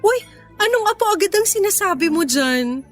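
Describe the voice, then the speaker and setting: A young businesswoman girlfriend in a Filipino radio romance. Her voice sits quite high and she is expressive and teasing, playful but careful with her heart. Music plays behind her. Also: moderate pace. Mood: teasing, reassuring. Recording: background music under the voice.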